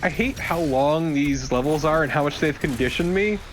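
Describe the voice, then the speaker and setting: A man's voice: fairly high pitch, moderate pace, a little hiss or hum. A man co-hosting a let's play with casual, wry banter and plenty of joking swearing. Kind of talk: casual banter over gameplay.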